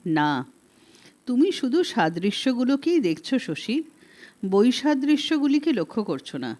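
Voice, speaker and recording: A woman's voice: a little high, big pitch swings, a little slow, a little mellow. A woman voicing an earnest, probing man, a loyal friend, in an audiobook, with pointed, insistent questioning. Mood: indignant, pressing. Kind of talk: audiobook character reading.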